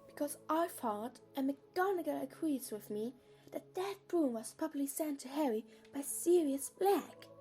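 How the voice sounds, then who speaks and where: High, mellow, defiant schoolgirl, acted by a woman. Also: lively intonation, a little slow, soft background music. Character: earnest, defiant, bookish teenage schoolgirl. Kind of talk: fan audio drama acting.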